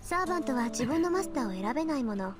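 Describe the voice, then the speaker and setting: A proud honor-student girl mage from an anime game, high-voiced and confident. She lectures at a very fast clip. Loud music plays under her. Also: sing-song. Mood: confident explaining. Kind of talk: Japanese visual novel voice acting. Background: loud game soundtrack.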